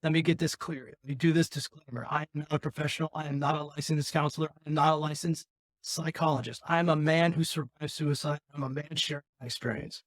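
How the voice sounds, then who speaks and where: A man with a raspy voice hosting a podcast, talking candidly and earnestly about his own life, rambling with frequent restarts. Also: a little high, fairly even intonation, fast talker, no echo. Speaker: podcast host. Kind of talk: candid personal talk.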